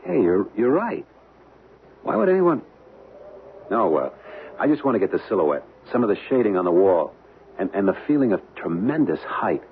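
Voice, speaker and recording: An actor playing a wary, easygoing young American husband in a radio drama, speaking in slangy, hesitant phrases. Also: male voice, lowish voice, sing-song, normal speed, pauses often. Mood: casual banter. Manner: slangy, hesitant, repeating words. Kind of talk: old-time radio drama acting. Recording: tinny, band-limited vintage radio, hissy.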